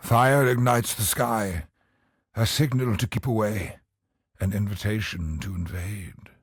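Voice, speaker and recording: An actor voicing a grave, bitter, ancient cosmic sorcerer in a video game, speaking in solemn, portentous, theatrical lines with a husky voice. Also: male voice, on the low side, dry recording, studio quality, exaggerated voice. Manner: solemn, portentous, dramatic slow delivery.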